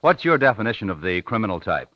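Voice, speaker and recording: Very animated 1950s radio promo man. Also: lowish voice, fast. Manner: punchy, theatrical. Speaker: promo announcer. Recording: dull, dry vintage radio recording.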